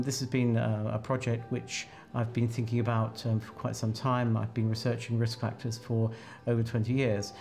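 A man's voice: medium pitch, darkish tone. A man in his sixties giving a reflective interview answer in a small office. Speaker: researcher interviewed about a project.

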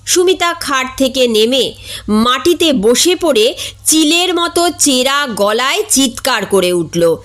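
A high-pitched woman narrates a comic short story. She takes her time, reading expressively as a storyteller. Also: slow. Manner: expressive, acts out characters' dialogue.

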